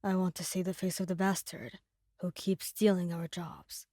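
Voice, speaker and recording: A woman's voice: lowish voice, little pitch movement, moderate pace, dark tone, no echo, studio quality, pitched below the performer's own voice, close to an everyday voice. A woman voicing an angry, aggressive young male mafia boss in an audio roleplay, impatient, growling and swearing. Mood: menacing.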